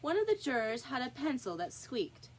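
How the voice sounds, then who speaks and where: Higher-voiced woman narrating a storybook. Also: very animated, normal speed, a little hiss or hum, low-quality recording. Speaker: storybook reader. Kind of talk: expressive children's story narration.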